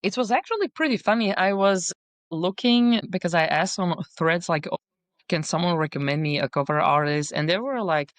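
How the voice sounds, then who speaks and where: A woman's voice: low-pitched, clear, resonant tone, no room sound. A young woman author whose first language is not English, chatting casually in an interview with many fillers and restarts. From Bosnia and Belgium. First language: not English; speaks French, Dutch, Bosnian. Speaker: guest author.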